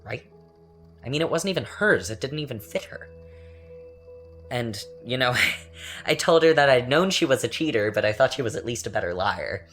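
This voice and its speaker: A man acting as a bitter, taunting young man in a confessional dramatic monologue, with a sardonic, halting, conversational delivery. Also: medium pitch, lively intonation, a bit bright, lightly acted. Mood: hurt, simmering. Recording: music under the voice. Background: music.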